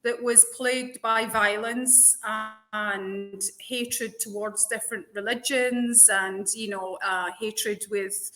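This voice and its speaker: A woman with a Glaswegian Scottish accent, chatting as a guest in a lively, forthright way and laughing often. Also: mid-range voice, slow, few pauses, soft background music, poor audio. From Scotland. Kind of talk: casual interview chat.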